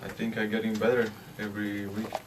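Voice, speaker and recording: A Latvian man, a hockey player, answering interview questions in English with a Latvian accent, haltingly and with many hesitations. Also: on the low side, monotone. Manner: halting, hesitant, with frequent "uh" and restarts. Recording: distant mic with faint background noise.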